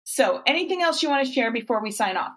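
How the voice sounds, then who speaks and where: A woman's voice: on the high side, lively intonation, fast talker. Encouraging woman podcast host. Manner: warm encouraging coaching talk, frequent restarts. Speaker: podcast host and fitness coach. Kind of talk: casual interview chat.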